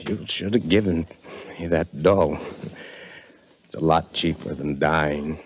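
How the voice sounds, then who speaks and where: An actor playing a desperate, obsessed young drifter in a 1950s radio drama, with an American accent and intense tough talk. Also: male voice, very deep voice, slow, slight background noise. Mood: cold menace. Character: desperate, obsessed young drifter turned killer, narrating his story. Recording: muffled 1950s radio recording with music.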